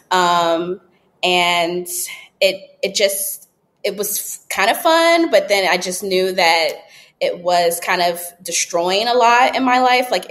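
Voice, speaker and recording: A woman in her late twenties telling her story as a podcast guest, candid and relaxed, with frequent ums and likes. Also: fairly low pitch. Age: 20s. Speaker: podcast guest, app founder. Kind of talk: casual interview storytelling.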